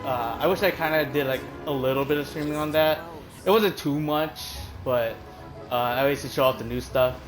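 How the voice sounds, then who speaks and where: A man's voice: medium pitch, normal speed, faint music behind. Rambling casual male streamer. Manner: rambling, filler-heavy, swears casually. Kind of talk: casual commentary while playing a game. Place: hot room at home with a fan running.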